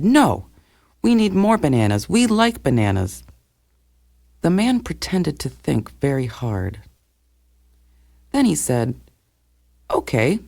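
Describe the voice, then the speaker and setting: A man narrating scripted folktales for learners in a clearly phrased storytelling way. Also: mid-range voice, a little mellow, no room sound, studio quality.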